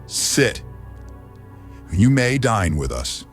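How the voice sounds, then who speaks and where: A man's voice: on the low side, big pitch swings, very slow, faint music behind, full character voice. A man voicing a regal, curt older duke in a fantasy audiobook, giving terse commands.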